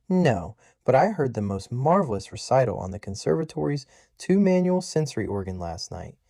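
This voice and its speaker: A man voicing a bright, eager young woman, talking with gushing enthusiasm in an audiobook. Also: mid-range voice, creaky, no echo, clean studio sound, pitched above the performer's own voice.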